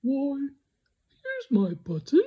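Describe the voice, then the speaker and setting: A woman's voice: high-pitched, huge pitch swings, slow, highs cut off, full character voice. A woman voicing a small, innocent, wistful toy bear in a children's storybook. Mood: pleased wonder.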